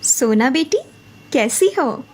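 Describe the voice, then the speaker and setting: A woman voicing a caring, gently persuasive middle-aged mother in a cartoon story. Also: high voice, lively intonation, slow pace, a little hiss or hum.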